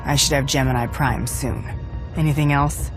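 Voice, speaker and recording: A woman's voice: very deep voice, steady tone, moderate pace. A woman playing a tough, confident bounty hunter in a video game, talking bluntly and dryly. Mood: confident, businesslike. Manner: blunt, dry, assured. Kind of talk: video game cutscene acting. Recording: voice over background music.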